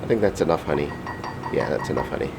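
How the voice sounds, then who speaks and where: Male vlogger, deep voice, casual rambling chat to camera, home kitchen with clear background noise. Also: lively intonation, fast. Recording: noisy home recording, appliance hum.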